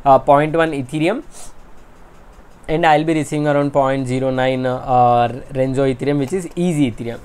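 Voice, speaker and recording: A man with an Indian accent narrates a desktop screen recording as a channel host. He walks through each step in a plain, instructional way, pausing often on filler sounds as he goes. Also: on the high side, a little mellow. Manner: step-by-step explanatory, frequent filler hesitations. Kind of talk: screen-recorded crypto tutorial. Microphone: voice-over on desktop screen capture.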